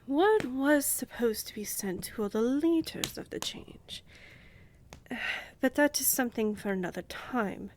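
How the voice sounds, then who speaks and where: A woman plays a commanding vampire countess for a fantasy audio drama. She speaks slowly and regally, coolly indulgent, her breathy voice often sinking toward a whisper. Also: a little high, expressive, a little hiss or hum. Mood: composed, gracious. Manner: measured, regal, condescendingly affectionate.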